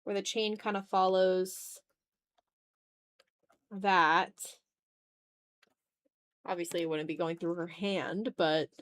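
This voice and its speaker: Casual, animated young woman streamer. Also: fairly low pitch, slow pace, long pauses. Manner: animated, slangy, self-deprecating. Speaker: art livestreamer. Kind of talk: casual livestream chat while drawing.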